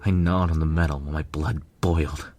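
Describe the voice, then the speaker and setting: A man narrates a first-person story in a deep, low voice. His reading is scripted fiction, with music playing behind him. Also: fairly even intonation, a little fast, a little mellow.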